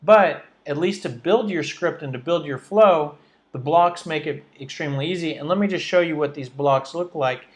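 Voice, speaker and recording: Higher-voiced man teaching a training session. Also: normal speed. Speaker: sales trainer and webinar presenter. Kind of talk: instructional slide presentation, explaining step by step.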